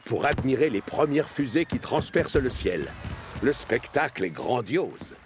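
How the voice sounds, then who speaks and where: A man narrating a children's cartoon in French, with an expressive storytelling manner. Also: mid-range voice, measured pace, noisy background.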